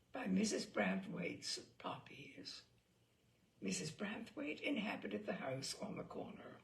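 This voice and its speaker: A woman reading a literary story aloud in an expressive, theatrical and deliberate way, with a gravelly, creaky voice. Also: fairly high pitch.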